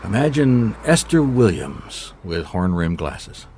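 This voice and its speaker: An actor playing a wry, persistent private detective in a radio mystery, with a dry, conversational, probing way of talking. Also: male voice, fairly low pitch, sing-song, slow, a little mellow, slight background noise. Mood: wry, thoughtful. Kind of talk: radio mystery drama, dialogue and narration.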